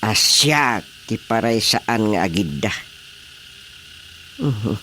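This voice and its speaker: A man's voice: lowish voice, animated, slow, bright, forceful tone, slight background noise, heavily acted. An actor with an Ilocano accent playing a fretful frog king in a radio drama comedy, hesitant and full of questions. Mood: grumbling.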